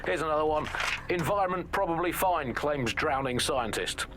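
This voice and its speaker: A man's voice: on the high side, lively intonation, moderate pace, background noise, lightly acted. A man playing an exasperated satirist co-hosting a radio comedy show, ranting theatrically in mock-grand flourishes. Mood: mock triumphant.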